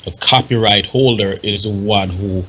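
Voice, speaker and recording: A man's voice: on the low side, steady tone, moderate pace, pushed and bright, slight background noise. A man with a Jamaican accent on a talk panel, explaining and advising emphatically and repeating himself for stress. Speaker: radio panelist advising on music rights.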